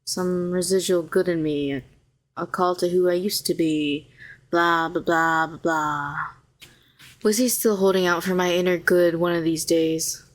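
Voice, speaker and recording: Woman acting a low-voiced, sardonic yet protective villainess. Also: fairly even intonation, measured pace, close to an everyday voice. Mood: guarded, wry. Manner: sharp and sardonic, turning soothing and coaxing. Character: telepathic female villain, former hero, sardonic yet tenderly protective. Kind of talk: intimate scripted audio roleplay.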